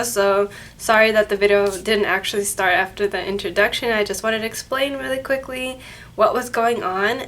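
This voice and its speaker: Chatty nasal woman, slightly high. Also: fairly bright tone. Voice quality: nasal, congested from a cold. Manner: chatty, rambling with self-corrections. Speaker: paranormal channel host. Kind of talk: casual chat to camera. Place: office at her workplace, indoors. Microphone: camera mic, no microphone.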